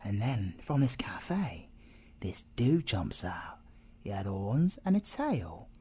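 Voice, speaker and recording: A British man playing a cocky, flirtatious male siren in an ASMR audio roleplay, breathy and teasing, often slipping into whispers. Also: fairly low pitch, sing-song, takes it slowly, noisy background. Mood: wry storytelling. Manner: teasing, theatrical, drops into whispers.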